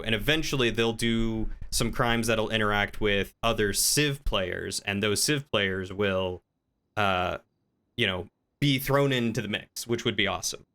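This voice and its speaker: A man's voice: lowish voice, sharp tone. A man livestreaming a role-play game, chatting casually with viewers and explaining things in an informal, rambling way as he thinks aloud.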